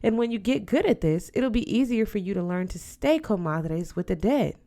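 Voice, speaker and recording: A woman's voice: mid-range voice, lively intonation, normal speed, creaky, warm tone. Woman acting older godmother, storybook character, solemn sense of duty, emphatic counsel, firm but affectionate. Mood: firm, wise. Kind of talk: audiobook character voice.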